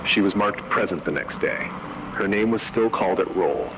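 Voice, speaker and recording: A man voicing a grave emergency announcer in an analog horror video, giving measured, solemn warnings. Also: sits low, lively intonation, background noise. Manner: measured, ominous, short solemn sentences. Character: grave male emergency broadcast announcer issuing eerie warnings. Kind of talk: analog horror scripted narration.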